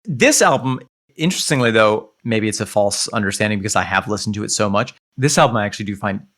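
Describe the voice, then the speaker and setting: A man co-hosting a casual podcast, enthusiastic and quick to react. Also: fairly low pitch, lively intonation, fairly bright tone. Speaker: podcast co-host. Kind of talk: casual chat.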